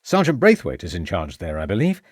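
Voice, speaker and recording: Spoken by a man, a composed Victorian gentleman detective, measured and inquisitive. His voice is low and slightly husky, in a dry, close sound. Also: huge pitch swings, no room sound, exaggerated voice.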